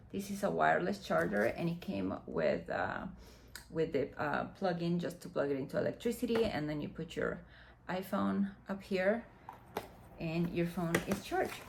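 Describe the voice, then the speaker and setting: A woman's voice: on the low side, creaky. A woman in her mid forties from the Dominican Republic, a native Spanish speaker with a Dominican accent, chatting casually through an unboxing with many fillers. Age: 40s. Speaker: beauty vlogger. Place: room next to a window, street noise outside. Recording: faint outside noise from the window.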